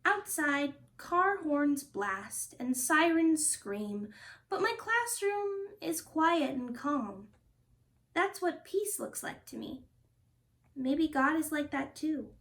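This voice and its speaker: A woman hosting a storytime, reading a children's picture book aloud with expressive, deliberate phrasing. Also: high voice. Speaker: storytime host and reader.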